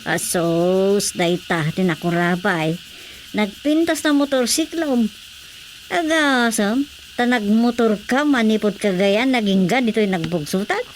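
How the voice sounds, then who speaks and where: A woman playing a lively, familiar village relative in an Ilocano radio comedy drama, with an Ilocano accent. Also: mid-range voice, a little slow, slight background noise, natural voice. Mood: chatty.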